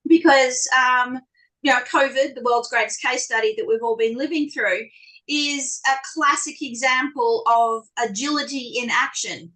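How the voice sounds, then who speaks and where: A woman with a strong Australian accent speaking on a live online panel, telling animated, self-deprecating stories. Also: on the high side, poor audio.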